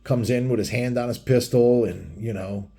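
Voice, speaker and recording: A man's voice: mid-range voice, moderate pace, mellow, breathy edge. Foul-mouthed middle-aged man telling biker stories. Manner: crude, heavily profane, blunt. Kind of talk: casual rambling storytelling.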